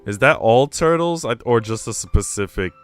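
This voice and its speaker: A man's voice: medium pitch, animated, normal speed. A man streaming a game, chatting casually in a rambling, teasing way with informal slang. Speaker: streamer reading a visual novel aloud. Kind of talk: casual livestream chat. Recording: music behind the voice. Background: music.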